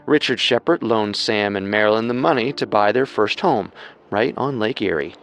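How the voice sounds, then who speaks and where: A man's voice: fairly low pitch, normal speed, fairly bright tone. A man co-hosting a true-crime show with scripted narration. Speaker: co-host, narrator.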